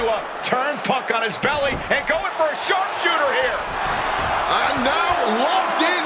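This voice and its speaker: A man playing an excited pro wrestling commentator, calling play-by-play in a dramatic, projecting voice. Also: extremely high pitch. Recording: loud arena crowd noise and music. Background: roaring crowd, thumps.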